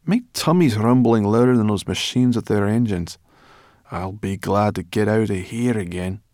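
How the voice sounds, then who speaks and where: A man's voice: fairly low pitch, moderate pace, darkish tone, no room sound, studio quality, close to an everyday voice. A man voicing a cross, grumbling female brownie creature in a storybook reading. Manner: grumbling, scolding.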